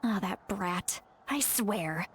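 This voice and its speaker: A woman's voice: medium pitch, slow, a little hiss or hum, close to an everyday voice. A woman voicing an irritable, exasperated granny in a video game, scolding in a huffy, dramatic, motherly way. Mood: grumbling.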